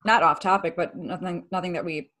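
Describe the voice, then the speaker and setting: A woman's voice: on the low side, fairly even intonation, brisk, a bit bright. A woman hosting a relationship talk show, asking rambling and enthusiastic questions. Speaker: host, relationship expert. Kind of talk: interview host conversation.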